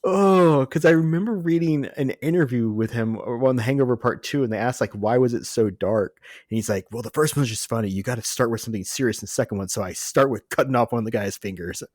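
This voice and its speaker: A man's voice: mid-range voice, fast, hardly any pauses, dry recording, clean studio sound. A man co-hosting a podcast, chatting casually about entertainment in a jokey, exclaiming storyteller's way. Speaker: podcast co-host. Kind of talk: casual film and TV news chat.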